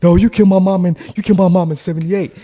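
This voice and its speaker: A man voicing an unhinged, grief-stricken man who shouts accusations and repeats himself insistently. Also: high voice, steady tone, rapid-fire, pitched above the performer's own voice. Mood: accusing, agitated.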